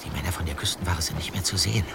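Observant young detective, hushed low voice, audio drama scene, distant with loud ambience. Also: male voice, very fast, gravelly, very noisy. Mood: wary, questioning. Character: cautious, observant young male detective companion. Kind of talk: German audio drama acting.

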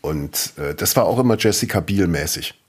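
A German man from the Eifel region chats casually as co-host of a podcast. His voice sits low and sounds slightly husky. He rambles, restarts his sentences and tells his stories with animated detail. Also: moderate pace. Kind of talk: casual chat.

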